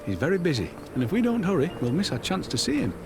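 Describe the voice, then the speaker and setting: A man's voice: a little high, wildly swooping pitch, quick pace, background noise. An actor playing a fond, patient father in a video game, indulgent and kindly. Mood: fond, reassuring. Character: fond, patient father hurrying his young daughter. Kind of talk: dramatic video game voice acting.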